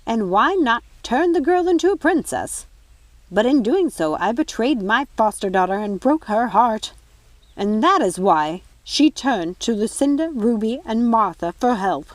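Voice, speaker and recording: A woman's voice: a little high, sing-song, measured pace, no room sound. Woman playing an earnest, reproachful fairy nanny. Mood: bitter, regretful.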